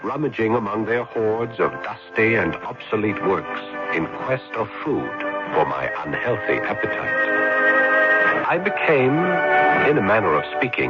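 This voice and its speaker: An actor playing a naive, idealistic, excitable young man in a radio drama. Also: on the low side. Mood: somber, haunted. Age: young adult. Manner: earnest, excitable, hesitant. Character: naive, idealistic young man caught up in revolution, later disillusioned. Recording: dull, muffled radio recording with music.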